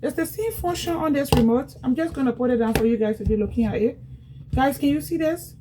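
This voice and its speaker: A woman's voice: high voice, a little fast, background noise. A woman from Liberia with a Liberian accent, chatting casually to viewers in a product unboxing vlog at home, repeating herself as she goes. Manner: chatty, repetitive, talks straight to viewers. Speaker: vlogger.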